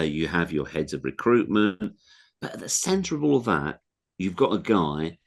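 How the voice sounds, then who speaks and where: A man talking as a guest on a football interview show, earnest and reflective, stressing his points. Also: low voice. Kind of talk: casual interview chat.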